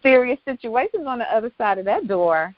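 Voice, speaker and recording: Expressive woman host, muffled dry sound. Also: on the high side, a little fast, no room sound. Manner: expressive, reacts with exclamations. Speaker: talk show host. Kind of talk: casual interview chat.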